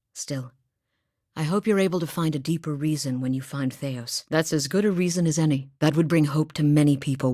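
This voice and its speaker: A woman's voice: low-pitched, darkish tone, dry recording, clean studio sound. A woman voicing a determined young woman hunter, earnest and sincere in a video game. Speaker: voice actor. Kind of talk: video game character dialogue.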